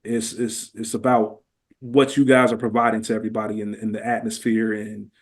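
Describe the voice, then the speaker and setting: A man's voice: on the low side, little pitch movement, brisk. A man speaking as a podcast guest, casual and heartfelt, with earnest, slangy phrasing that often leans on "man.".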